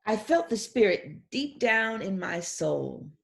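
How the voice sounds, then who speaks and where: A woman's voice: mid-range voice, big pitch swings, takes it slowly, vocal fry, a bit bright, full character voice. A woman voicing a joyful little girl from a Christmas storybook, drawing out her words and singsonging the sound effects. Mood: excited.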